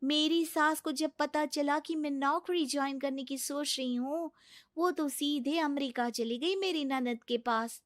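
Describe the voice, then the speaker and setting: Woman acting young female coworker, curious, lively, informal chat, very high voice, storybook drama. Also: unhurried, no room sound, studio quality. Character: chatty, curious young female office friend.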